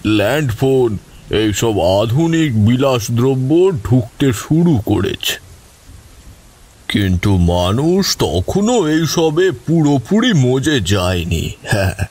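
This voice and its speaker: Man acting a slow, husky old grandfather storyteller. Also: mid-range voice, darkish tone. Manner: measured, suspenseful, conversational elder's musing. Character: old grandfather, bold adventurer recounting eerie memories. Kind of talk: dramatic first-person horror storytelling.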